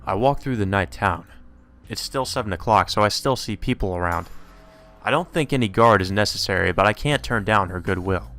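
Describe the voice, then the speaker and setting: A man's voice: fairly low pitch, a bit bright. A man reading visual novel narration aloud as the narrator of a let's play.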